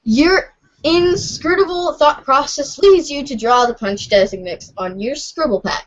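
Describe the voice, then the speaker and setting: A woman's voice: sits high, measured pace. A woman reading webcomic narration aloud in an informal, giggly way for a fan podcast. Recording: dull lo-fi voice recording.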